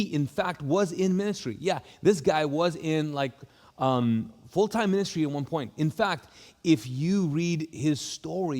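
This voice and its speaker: A man's voice: on the high side, darkish tone. A man, a pastor, giving a casual, conversational welcome talk with many fillers and restarts. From Kuwait. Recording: long hall reverb.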